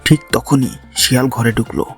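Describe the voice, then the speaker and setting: A man's voice: mid-range voice, fairly even intonation, normal speed, husky. A man narrating a scripted children's fairy tale as storyteller. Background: music.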